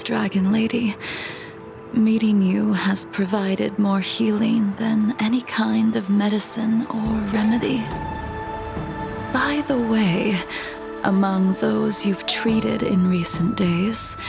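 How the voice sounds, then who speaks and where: A slow, creaky-voiced, world-weary swordswoman. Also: mid-range voice, steady tone, music in the background. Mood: solemn, resigned. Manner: formal, measured, solemn. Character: world-weary long-lived swordswoman, grim, composed, consumed by hatred. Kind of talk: dramatic video game voice acting.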